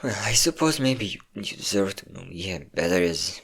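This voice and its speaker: A man playing a depressed young man who tearfully confides in his best friend, halting and self-deprecating, in an intimate audio roleplay. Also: low voice, animated, gravelly, a bit bright, husky, exaggerated voice. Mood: vulnerable, halting. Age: young adult.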